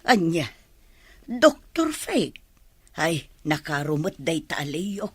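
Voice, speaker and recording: A woman with an Ilocano accent playing a consoling, pious village wife in a radio comedy drama, with a husky voice. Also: sits low, very animated, takes it slowly, heavily acted. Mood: concerned.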